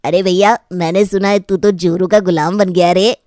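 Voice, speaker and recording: A woman's voice: on the low side, fairly even intonation, normal speed, a little mellow, no room sound, studio quality, natural voice. A woman voicing a gossiping male neighbour in a family story, chatty and needling.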